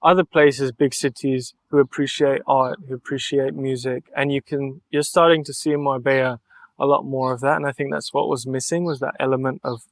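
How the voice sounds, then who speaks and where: A man's voice: mid-range voice, little pitch movement, a little fast. A young man from Mallorca, an artist being interviewed on a podcast, talking in an earnest, reflective way and thinking aloud in long flowing sentences. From Mallorca, Spain. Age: young adult. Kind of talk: relaxed interview conversation.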